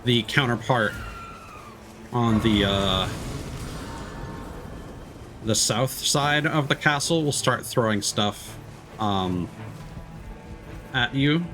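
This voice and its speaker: A man's voice: medium pitch, animated, extremely slow, long pauses, music in the background. A man giving casual, laid-back live commentary as he plays a video game, explaining things as he goes.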